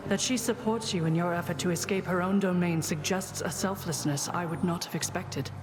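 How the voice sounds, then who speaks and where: Woman as a stately goddess over loud noise. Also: on the low side, close to an everyday voice. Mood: reserved, courteous. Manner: formal, measured. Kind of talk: video game voice acting.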